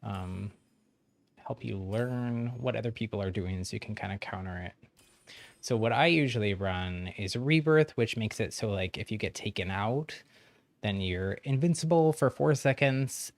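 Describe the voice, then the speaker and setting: Male livestreamer, lowish pitch with plenty of vocal fry, friendly and encouraging, casual explainer for new players. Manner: chuckles often while talking. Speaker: game streamer. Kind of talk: casual livestream chat explaining a game to newcomers.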